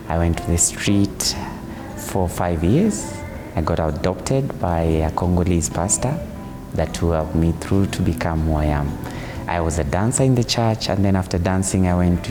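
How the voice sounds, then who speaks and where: Deep-voiced man telling his story. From Kenya. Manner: straightforward, step-by-step storytelling. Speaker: video director and entrepreneur. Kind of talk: interview testimonial. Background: background music.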